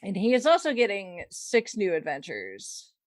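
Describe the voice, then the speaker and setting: A woman's voice: medium pitch, huge pitch swings, moderate pace, no room sound, clean studio sound. A woman hosting a podcast, reading out fandom news in a casual, wry and playful way with chuckles. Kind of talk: casual chat.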